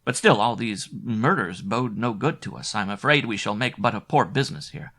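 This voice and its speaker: A man's voice: fairly low pitch, lively intonation, moderate pace, a bit bright, breathy edge, heavily acted. A man voicing a gloomy, uneasy musician friend in a storybook reading. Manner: uneasy, pessimistic. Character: gloomy male bass player, a worried companion.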